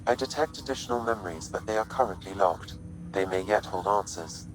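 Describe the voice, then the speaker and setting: A man playing a calm, analytical artificial intelligence in a video game, speaking in measured, formal, precise explanations. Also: fairly low pitch, music in the background, lightly acted, low-quality recording. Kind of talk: scripted video game dialogue.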